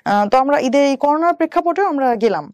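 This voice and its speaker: A woman's voice: sits high, lively intonation, fast, darkish tone, no room sound. A woman in her thirties with a Bangladeshi accent, telling a personal story step by step as an interview guest, with some English words mixed in. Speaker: interview guest, bank employee.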